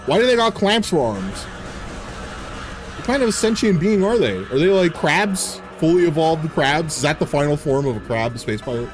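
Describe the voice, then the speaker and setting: A man in his thirties, a game streamer giving casual, excitable, jokey commentary while playing and laughing often. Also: a little high, moderate pace, faint music behind. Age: 30s. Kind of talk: casual live gameplay commentary.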